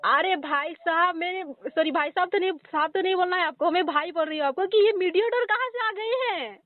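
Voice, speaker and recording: A woman's voice: extremely high pitch, fairly quick, no echo. A woman arguing insistently on a phone call, often cutting in. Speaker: caller. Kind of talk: heated phone argument. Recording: tinny phone line.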